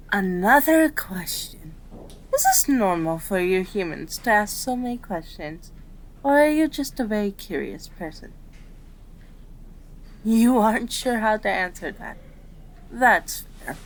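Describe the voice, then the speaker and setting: A woman voicing a gentle, patient female kitsune doctor in an ASMR roleplay, with a soothing, polite, measured manner. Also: mid-range voice, rough recording. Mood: patient, explanatory.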